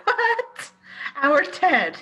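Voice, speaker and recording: An actress in a radio play playing a loyal, spirited and protective London wife, in a theatrical style. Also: female voice, very high voice, huge pitch swings, very slow, bright, forceful tone, slight background noise, heavily acted. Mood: affectionate, brisk.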